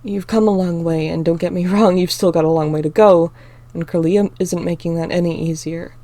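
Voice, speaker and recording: A woman voicing a calm young shapeshifter man who speaks quietly and teases a little. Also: fairly low pitch, a little fast, dry recording, lightly acted. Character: calm, dry young male werewolf-like shifter.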